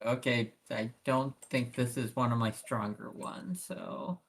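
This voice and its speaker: A tabletop game player chatting casually and haltingly, describing and voicing a character's actions while thinking aloud. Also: medium pitch, steady tone, fairly bright tone. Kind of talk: casual in-character roleplay chat.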